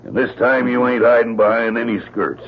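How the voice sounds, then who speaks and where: An actor playing a big, rough, threatening lumberjack villain with a rural American accent in an old-time radio drama. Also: male voice, mid-range voice, steady tone, fairly quick, lightly acted. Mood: confrontational. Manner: threatening, blunt. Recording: tinny, noisy old radio recording.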